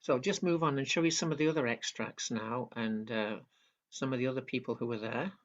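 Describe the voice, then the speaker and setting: A man giving a casual talk and commenting on video clips in a relaxed manner with fillers. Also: mid-range voice, a little fast, lo-fi sound.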